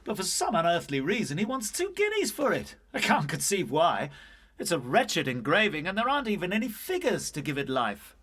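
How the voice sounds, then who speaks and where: A fussy male museum curator from a storybook ghost tale, acted by a male narrator. He talks in a raised, animated pitch, grumbling lightly like a peevish academic. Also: fairly quick, husky, full character voice.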